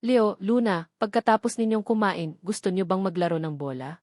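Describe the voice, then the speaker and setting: A woman reading the part of a calm, soft-spoken, wealthy male politician in an audio love story. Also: medium pitch, normal speed, no room sound, clean studio sound, natural voice. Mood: calm, kindly.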